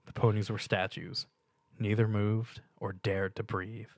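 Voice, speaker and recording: A man's voice: on the low side, little pitch movement, unhurried, no room sound, studio quality. A man reading a piece of fan fiction aloud as dramatic story narration.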